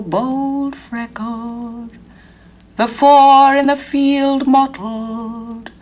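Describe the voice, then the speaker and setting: A woman voicing a sorrowful fairy lady from a lake, chanting softly and slowly. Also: sits high, voice pushed up, full character voice. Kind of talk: 1950s spoken-word record.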